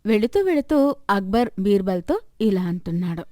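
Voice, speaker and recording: A woman's voice: medium pitch, sing-song, moderate pace, warm tone, dry recording, studio quality. A woman narrating a scripted moral story as the storyteller.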